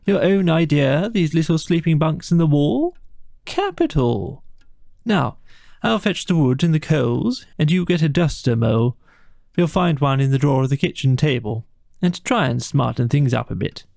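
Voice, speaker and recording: A man voicing a cheery, practical storybook water rat with a brisk, encouraging, chummy manner. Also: on the high side, big pitch swings, creaky, a little mellow, dry recording, studio quality, pitched above the performer's own voice. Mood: bustling, delighted. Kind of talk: dramatised storybook reading.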